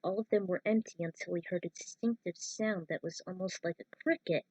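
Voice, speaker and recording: A woman narrating and recapping a fan-written story in a casual, chatty way, pausing to correct herself. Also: on the low side, a little mellow, slightly rough, poor audio. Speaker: fan fiction reader and narrator.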